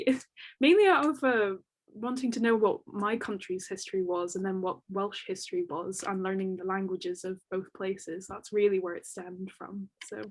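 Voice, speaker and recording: Young woman from Northern Ireland with an English accent, informal panel answers, lots of fillers. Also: medium pitch. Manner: chatty, many fillers, laughs easily. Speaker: doctoral student speaker. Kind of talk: academic panel Q&A.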